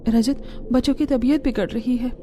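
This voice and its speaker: A woman playing a poor, gentle, self-sacrificing young mother in a cartoon story, speaking softly and earnestly. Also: on the high side, fairly even intonation, normal speed, noisy background, lightly acted. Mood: worried.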